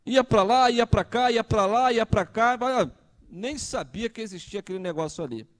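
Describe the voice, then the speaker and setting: A man's voice: sits high, normal speed. A man, preaching a conversational sermon and asking the congregation questions. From Brazil. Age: 30s. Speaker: pastor.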